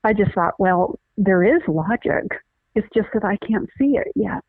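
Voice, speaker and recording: A woman's voice: medium pitch, normal speed, no room sound. Woman guest, middle-aged, earnest explainer with many restarts; dry phone-line sound. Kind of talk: conversational interview, explaining.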